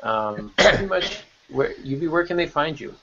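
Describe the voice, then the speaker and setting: A man's voice: a little high, very animated, normal speed, a crowd in the background. A man hosting a casual podcast panel chat in a joking, rambling way over an online call. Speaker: podcast host. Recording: dull lo-fi online call audio.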